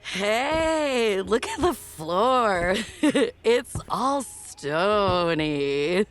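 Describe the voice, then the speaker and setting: A woman voicing a drunk, affectionate, silly young friend who rambles, in an animated comic drama. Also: mid-range voice, faint music behind. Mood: giggly, amazed. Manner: slow, rambling. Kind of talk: animated motion-comic voice acting.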